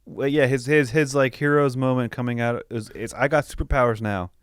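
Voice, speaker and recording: A man's voice: mid-range voice, steady tone, fairly quick, smooth, dry recording, clean studio sound. A man co-hosting a casual film review podcast, arguing bluntly in choppy, restarted phrases with some swearing. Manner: blunt, profane, argues in repeated broken starts. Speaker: podcast co-host.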